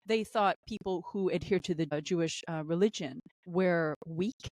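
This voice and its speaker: A woman's voice: normal speed, darkish tone, dry recording. Emphatic woman in dry close commentary. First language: Arabic. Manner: emphatic, rising pitch when indignant, repeats phrases. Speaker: podcast guest. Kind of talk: impassioned political commentary.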